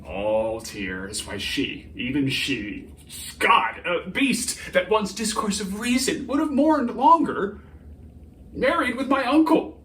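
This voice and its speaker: A man playing a grieving, embittered prince in a theatrical Shakespearean soliloquy, halting and declamatory, moving between hushed brooding and anguished outcry. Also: high voice, sing-song, exaggerated voice. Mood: bitter grief. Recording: roomy, distant microphone, faint noise.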